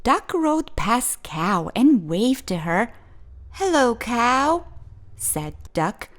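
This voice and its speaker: Female children's storytime reader. Expressive, unhurried delivery with a lively, swooping melody. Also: medium pitch. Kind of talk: expressive picture book read-aloud.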